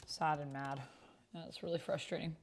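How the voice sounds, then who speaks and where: Woman, slightly low voice, casual chatty livestream host at a computer. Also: steady tone, normal speed, slight background noise. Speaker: streamer hosting an actual play.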